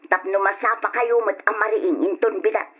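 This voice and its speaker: An actress with an Ilocano accent playing a bossy, stingy aunt with a sharp, commanding manner in a radio drama. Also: female voice, mid-range voice, fairly even intonation, normal speed, slightly rough. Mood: bossy, scolding. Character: bossy, stingy aunt scolding her young wards. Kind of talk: Ilocano old-time radio drama acting. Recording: dull lo-fi old radio drama recording.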